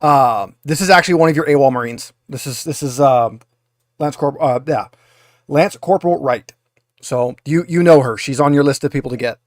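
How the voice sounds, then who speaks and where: A man acting as game master, narrating a tabletop game in a halting, conversational way as he explains things. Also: medium pitch, no echo, studio quality.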